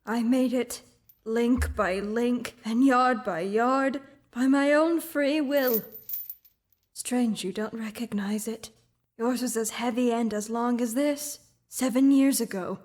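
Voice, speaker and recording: An actor voicing the mournful ghost of an old businessman bound in chains, in a student radio drama, speaking solemnly and dropping into breathy whispers. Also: very high-pitched, takes it slowly.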